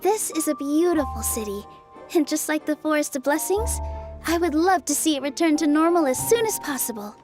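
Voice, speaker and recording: A woman voicing a gentle, sincere young companion who speaks politely. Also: high voice, lively intonation, fairly quick, music in the background.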